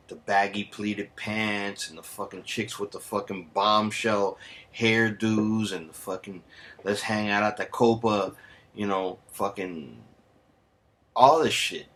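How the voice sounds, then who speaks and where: A man's voice: on the low side, fairly even intonation, takes it slowly, fairly bright tone. A man from the United States, ranting heatedly and sarcastically on a vlog, swearing constantly and dropping in Spanish slang. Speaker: vlogger. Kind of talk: profane angry rant.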